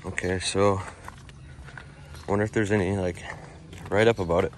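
A man with a low voice talks his way through an outdoor walking tour. He is relaxed and chatty and thinks aloud with many fillers. Faint noise sits behind him outside. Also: a little slow. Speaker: travel vlogger. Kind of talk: casual walking tour narration. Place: outdoors at an old stone fort.

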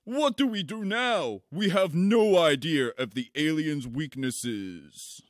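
A man voicing an over-dramatic, gruff-tempered resistance leader in an action-movie parody cartoon, with melodramatic emphasis. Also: fairly high pitch, animated. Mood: grave, unimpressed. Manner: deliberate, melodramatic emphasis with sudden shouted repeats.

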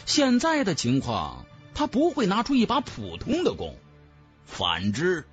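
Very animated male audiobook narrator. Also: high voice, measured pace, muffled recording, faint music behind. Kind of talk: dramatic scripted fantasy narration.

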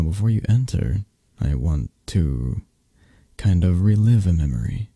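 A man acts out a flirtatious, possessive mafia boss in an ASMR roleplay. His voice is very low and dark, with a gravelly fry. He murmurs to his lover in a sleepy, teasing, soothing way. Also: no echo, clean studio sound, heavily acted. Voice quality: breathy, husky. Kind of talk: intimate ASMR romance roleplay.

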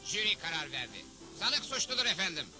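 Wry confident cowboy hero from a cartoon, talks fast, swingy pitch, music behind him. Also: male voice, big pitch swings, full character voice. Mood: firm, assured. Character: calm, wry cowboy hero, clever and fair. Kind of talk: dubbed Western cartoon acting. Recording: loud background music.

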